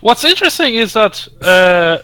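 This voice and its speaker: A man with a Russian accent chatting casually as a gaming podcast co-host, halting and repeating words. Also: high voice, sing-song, bright, forceful tone.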